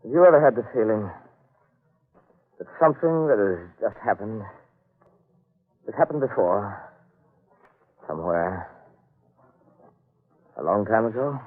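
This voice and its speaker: A brooding, ominous man, speaking slowly and portentously with a low, swooping voice. It is 1940s radio drama on a muffled old recording. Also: expressive. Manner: measured, portentous.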